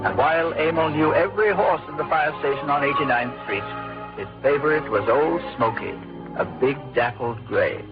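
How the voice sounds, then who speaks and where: A man with an American accent and slightly high voice narrates nostalgically, like a scripted radio storyteller. Music plays clearly behind him on a dull old recording. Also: moderate pace. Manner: wistful storytelling.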